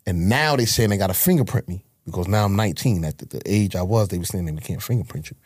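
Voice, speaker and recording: A man's voice: deep voice, lively intonation, quick pace, a little mellow, breathy edge, dry recording. A man from Harlem with a New York accent, telling his life story in a casual, profane, streetwise way as an interview guest. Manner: streetwise, profane, acts out quoted voices.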